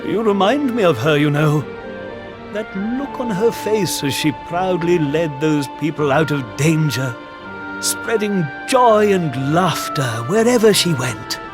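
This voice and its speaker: A man's voice: fairly high pitch, lively intonation, a little slow, music in the background. A man playing a portly, fatherly middle-aged circus ringmaster in a video game. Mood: moved. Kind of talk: video game cutscene acting.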